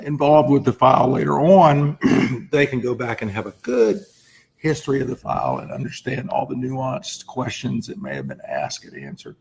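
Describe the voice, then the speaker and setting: A man with a hoarse, laryngitic voice full of gravelly creak speaks at a slightly varying, medium pitch. He explains things informally to viewers in the manner of a lawyer giving advice. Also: darkish tone, rough recording. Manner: frequent throat clearing. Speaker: lawyer hosting a firm's advice segment. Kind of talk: informal explanatory talk to camera.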